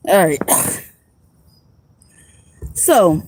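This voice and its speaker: A woman's voice: deep voice, huge pitch swings, extremely slow, creaky, a little mellow, slightly rough, poor audio. A woman who is a homeless vlogger and music producer, chatting casually and rambling in slang on a phone livestream outdoors at night.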